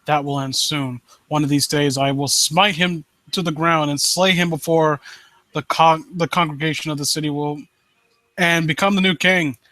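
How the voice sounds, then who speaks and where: A man plays a vengeful brother hungry for the throne in a dramatic story reading. The delivery is grim and deliberate, set low against his usual talk, with a bright edge and a very dry, close sound. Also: steady tone, no room sound. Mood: grim resolve. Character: vengeful ambitious brother plotting to seize the throne.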